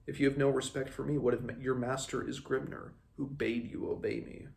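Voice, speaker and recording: A man's voice: medium pitch, level tone, brisk, creaky, a little mellow, voice pushed up, lightly acted. A man reading the part of a stern, exasperated warrior prince from a fantasy novel, with a questioning manner.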